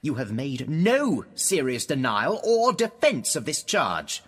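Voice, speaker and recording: An actor with a British accent playing smug establishment interrogators in a radio drama, condescending and insinuating. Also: male voice, mid-range voice, huge pitch swings, slow, husky, exaggerated voice. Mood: stern, formal. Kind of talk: theatrical radio drama dialogue.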